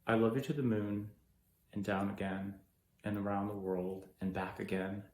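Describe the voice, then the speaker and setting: A man's voice: fairly low pitch, steady tone, drawn-out words, voice pushed down. A man playing a hurt adult daughter pleading with her mother in a stage monologue. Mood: tender, nostalgic. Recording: echoey room.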